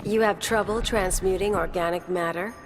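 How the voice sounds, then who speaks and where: An actress playing a cool, disdainful plant-wielding villainess in a video game. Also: female voice, mid-range voice, steady tone, normal speed, lightly acted. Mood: cool scorn. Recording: voice under loud game music.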